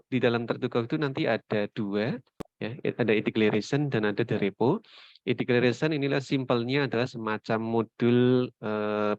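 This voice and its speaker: A man giving a semi-formal product presentation in a halting way with pauses. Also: medium pitch, no echo. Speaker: company presenter. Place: online webinar call. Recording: dull, lo-fi online call audio.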